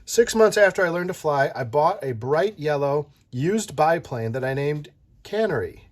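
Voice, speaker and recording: An adult reading a children's picture book aloud, playful and animated, stopping to chat with the child listening. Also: medium pitch, moderate pace. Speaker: read-aloud storyteller.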